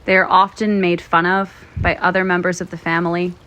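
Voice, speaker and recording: A woman narrating a guided meditation in a gentle, soothing, measured way, pausing often between phrases. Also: on the low side, flat delivery, rough recording. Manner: gentle, soothing, measured delivery with frequent pauses.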